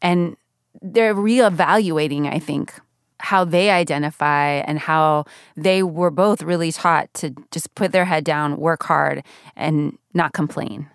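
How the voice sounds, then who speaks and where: A low-pitched middle-aged woman with a gravelly edge that still sounds smooth and resonant. She talks candidly and reflectively as an interview guest, laughing easily. She is close to a studio mic in a dry room. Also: takes it slowly, dry recording. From United States. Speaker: guest, radio journalist. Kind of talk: conversational interview.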